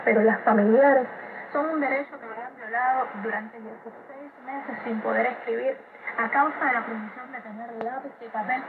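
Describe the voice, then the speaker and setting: A woman with a Cuban accent giving a detailed spoken statement, heard over shortwave radio. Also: fairly high pitch. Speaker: activist giving a recorded statement. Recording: tinny noisy shortwave radio relay. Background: shortwave static.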